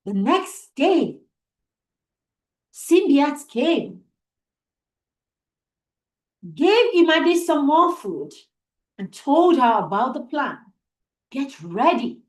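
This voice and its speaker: A woman telling a children's story as host, deliberate and suspenseful, repeating phrases for emphasis, in an echoey room. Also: sits high, big pitch swings. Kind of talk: dramatic oral storytelling for children. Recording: echoey, roomy sound.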